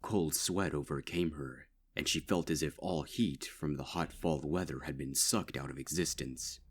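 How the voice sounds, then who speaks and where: Very deep-voiced male narrator. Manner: measured, grave storytelling. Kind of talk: dark fanfic audiobook narration.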